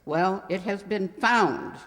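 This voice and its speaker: A woman's voice: medium pitch, slow, fairly bright tone. A woman playing a prim, chatty spinster librarian who scolds genteelly in a radio mystery play. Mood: primly shocked. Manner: fussy, scolding yet genteel. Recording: reverberant hall sound.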